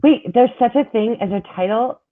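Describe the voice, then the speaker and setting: A woman's voice: fairly high pitch, moderate pace. A woman hosting an interview, chatting casually and enthusiastically and restarting her questions. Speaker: podcast host interviewing a guest. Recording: phone-like call audio.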